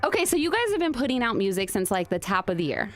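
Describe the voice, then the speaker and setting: A woman hosting a radio interview in a studio, bubbly and quick to laugh. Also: a little high, big pitch swings, soft background music. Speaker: radio host.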